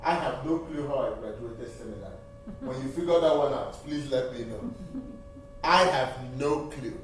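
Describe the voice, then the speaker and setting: A man's voice: mid-range voice, a little hiss or hum. Nigerian man preaching in an echoey church. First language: Igbo. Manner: earnest, repeats phrases for emphasis. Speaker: seminary graduate speaking at church. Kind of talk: testimony and appeal in church. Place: church sanctuary. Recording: distant, roomy church recording.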